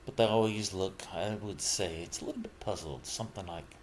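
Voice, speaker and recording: A middle-aged man talking casually and reflectively about himself, in halting, broken phrases with trailing pauses. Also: deep voice, darkish tone, a little hiss or hum. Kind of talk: casual personal monologue, rambling reflection.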